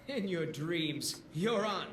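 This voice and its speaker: Man playing a high-pitched boastful tavern drinker. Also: animated, extremely slow, a bit bright, full character voice. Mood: jovial, boastful. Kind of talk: fantasy video game character acting. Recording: echoey room, background ambient noise.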